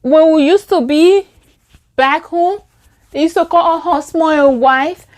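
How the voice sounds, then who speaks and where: Young Liberian-accented woman vlogger, casual storytime, fairly high voice, animated delivery. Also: a little slow. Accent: Liberian English. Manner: animated, acts out quoted lines in a higher voice. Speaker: beauty vlogger. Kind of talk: casual storytime while doing makeup.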